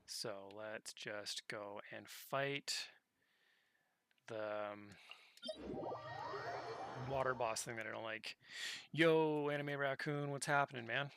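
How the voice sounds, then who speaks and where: A man streaming a video game, chatting casually and relaxed with his viewers. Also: medium pitch, slow, creaky, long pauses, background noise. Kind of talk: casual gameplay commentary. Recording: loud game music behind.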